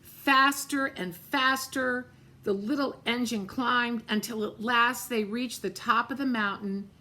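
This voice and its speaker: A woman reading a children's picture book aloud, gently pointing out the pictures. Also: fairly high pitch, steady tone, slow. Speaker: storybook reader.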